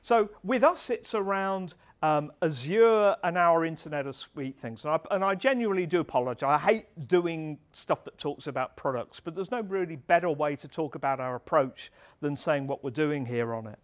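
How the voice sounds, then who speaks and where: A man's voice: fairly high pitch, moderate pace, few pauses. Middle-aged man giving a tech talk. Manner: conversational, earnest, gestures while presenting. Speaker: speaker at a tech meetup. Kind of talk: conference talk. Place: large dark event hall.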